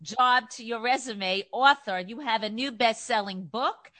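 A woman's voice: fairly high pitch, a little slow, bright, forceful tone, no echo. A woman from New York with a New York accent, hosting an interview with upbeat enthusiasm. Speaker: host. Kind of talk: interview host.

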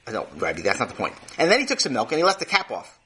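An exasperated mother interrogates her son, as a male storyteller puts her on, her raised voice husky and bright. She swoops up high with every disbelieving question. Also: huge pitch swings, rapid-fire, heavily acted. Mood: patient.

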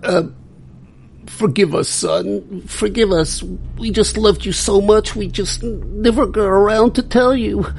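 A humble father and former servant, played by an actor, speaks slowly and haltingly. His voice is rough and creaky. He pleads like a worried man in a radio drama. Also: medium pitch, a little mellow, faint music behind. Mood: pleading.

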